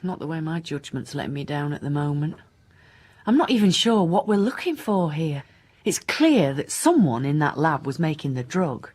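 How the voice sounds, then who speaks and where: Radio drama: weary female inspector, husky, dry delivery, lowish register. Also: sing-song, normal speed, darkish tone, heavily acted. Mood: weary musing. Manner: blunt, dry, questioning. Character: driven, weary, sardonic female police inspector. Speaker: actor.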